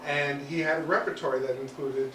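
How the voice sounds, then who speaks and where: Man, audience member, casual hesitant recollection, echoey room, clear background noise. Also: on the high side, steady tone, moderate pace. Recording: noisy, echoey room, distant mic.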